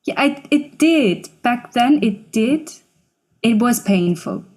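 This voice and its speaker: Mellow young woman in interview. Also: fairly high pitch, lively intonation, slow. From Switzerland. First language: Swiss German. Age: 20s. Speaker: interview guest, singer-songwriter. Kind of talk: casual, reflective interview talk.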